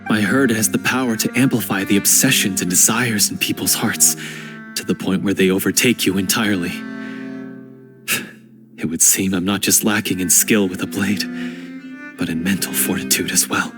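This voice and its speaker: A man's voice: low-pitched, steady tone, moderate pace, over music, natural voice. A man voicing a remorseful young male hunter in a game cutscene, speaking in an earnest, hesitant, self-reproaching way and stammering at times. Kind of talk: video game cutscene voice acting.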